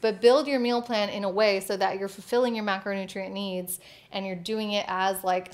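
Young woman, casual co-host, chatty, laughs easily, answers fitness questions. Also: medium pitch. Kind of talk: casual Q&A chat.

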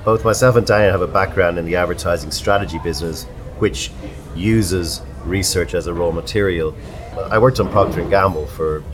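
A man with an Irish accent hosting a casual pub chat, chatty and full of animated anecdotes. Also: sits low. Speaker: podcast host.

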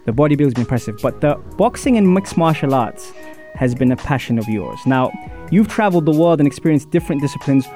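An upbeat male radio presenter with a fairly high, swooping voice. He talks in an animated, friendly way to a guest in the studio, with faint music playing under him. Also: fast talker. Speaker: radio show host. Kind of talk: radio talk show interview.

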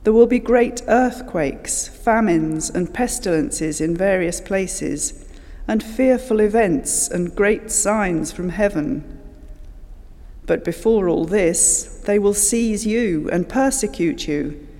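A scripture reader delivers a formal Bible passage slowly and with care. The voice is mellow and dark. It echoes with a long reverb through a church hall. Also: medium pitch. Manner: measured, formal reading. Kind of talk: liturgical Bible reading.

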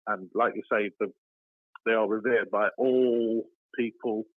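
A man's voice: lowish voice, fairly even intonation, unhurried, no room sound. A man calling into a show, casual and agreeable, repeating words as he talks. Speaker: caller. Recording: phone line.

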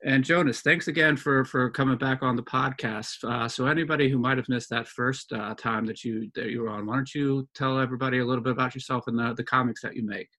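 A man hosting a comics podcast, opening the show and handing questions over, a little halting when he asks them. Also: medium pitch, fairly even intonation, pushed and bright. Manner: friendly, slightly halting when asking questions. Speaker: podcast host. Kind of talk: podcast intro and interview.

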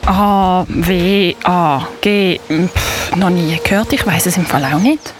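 A woman playing a bossy, adventurous young girl, a big sister, in an amateur radio play, brisk and urging. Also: fairly low pitch, soft background music. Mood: curious.

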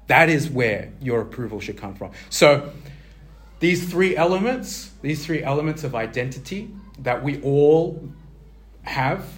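Casual man giving a sermon. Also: mid-range voice. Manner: conversational, many fillers and restarts, asks the audience questions. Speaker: preacher. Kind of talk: informal sermon teaching.

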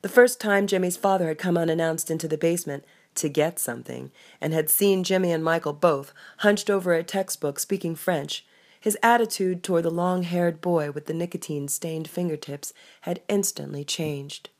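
Low-pitched woman reading an audiobook. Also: normal speed, a little mellow. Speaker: author reading own novel aloud. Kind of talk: audiobook narration.

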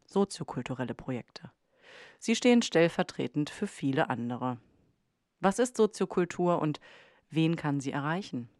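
A woman's voice: deep voice, expressive, normal speed, darkish tone, no room sound, studio quality. A woman reading scripted podcast narration as the host.

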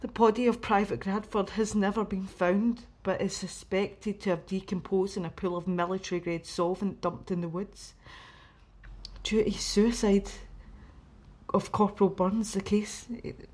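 An actress playing a shaken woman in a radio horror drama, recounting grisly events in a grave, halting way. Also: mid-range voice, level tone, a little mellow, close to an everyday voice.

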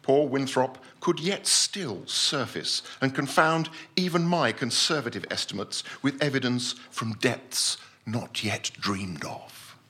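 An actor playing a pompous, self-deluded scientist-explorer, formally and deliberately reciting his voyage report as a theatrical stage story. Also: mid-range voice, bright, forceful tone, slightly rough, heavily acted. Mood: boastful, proud. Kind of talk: dramatic first-person short story reading at a live night.

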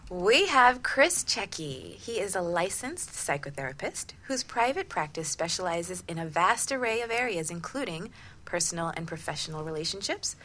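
Bright-voiced woman hosting a talk show. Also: on the low side, big pitch swings, normal speed. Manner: affirming, often checks with "right?". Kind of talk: conversational radio talk show.

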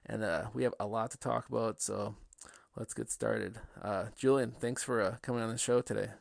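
Gravelly-voiced male podcast host. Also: fairly low pitch, steady tone, normal speed, dry recording, studio quality. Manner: upbeat, with some fillers. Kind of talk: casual interview hosting.

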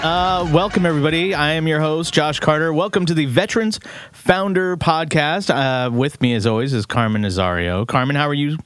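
A man's voice: on the high side, fairly quick, fairly bright tone, faint music behind. A man hosting an interview podcast, chatting casually and upbeat, with lots of fillers and restarts. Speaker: podcast host.

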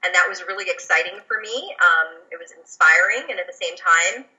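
Bright-voiced woman, German-born, lo-fi audio. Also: lowish voice, a little fast. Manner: reflective, storytelling, frequent fillers. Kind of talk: casual podcast conversation.

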